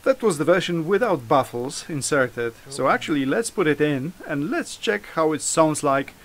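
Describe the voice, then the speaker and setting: A man casually narrating a DIY vlog in an informal, halting, self-deprecating way. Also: fairly high pitch, lively intonation, very noisy. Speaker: motorcycle hobbyist, video host.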